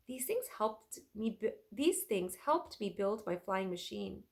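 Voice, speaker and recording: A woman voicing an excitable, dreamy older male inventor and artist in a children's storybook, dramatic and emphatic. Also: mid-range voice, unhurried. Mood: earnest, dreamy. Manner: emphatic, exclaiming. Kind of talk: children's storybook read-aloud.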